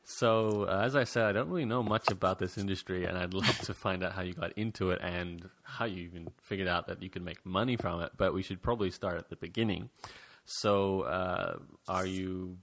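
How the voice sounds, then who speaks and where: A man's voice: deep voice, steady tone, a little fast, noisy background. A man hosting an interview over a call, chatty and friendly, with many fillers and restarts. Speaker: podcast host. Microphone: call recording.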